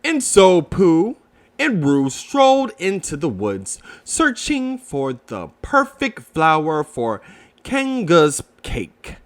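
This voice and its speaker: A man reading a children's storybook aloud, with expressive, deliberate narration for young listeners. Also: fairly high pitch. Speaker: children's book reader.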